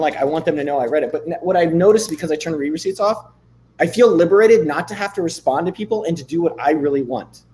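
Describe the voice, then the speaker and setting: A man in his thirties hosting a live stream, chatting loosely and swearing casually, a bit hoarse from losing his voice. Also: sits high, darkish tone. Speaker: livestream host. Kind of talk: casual livestream chat with screen demos.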